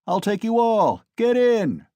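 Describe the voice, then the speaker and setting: A man's voice: high voice, expressive, a little slow, darkish tone, dry recording, muffled recording, voice pushed up. A man voicing a cruel, drunken young male peasant who bellows and rages. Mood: drunken bellowing. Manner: bellowing, raging.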